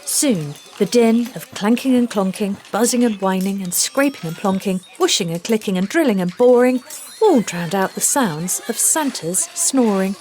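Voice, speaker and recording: A woman narrating a children's storybook aloud, with deliberate, rhythmic delivery of rhymed phrases. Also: mid-range voice, lively intonation, darkish tone. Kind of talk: scripted storybook read-aloud.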